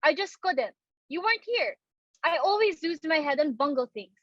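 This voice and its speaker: A woman's voice: high-pitched, animated, normal speed, no echo, dull audio. A woman playing an anxious, earnest young society daughter in a dramatic reading of a stage comedy, with a pleading, theatrical delivery. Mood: flustered.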